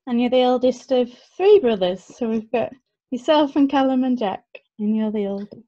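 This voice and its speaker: High-voiced woman host, muffled sound. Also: lively intonation, normal speed, warm tone. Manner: friendly, laughs often. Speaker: podcast host. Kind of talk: casual interview chat.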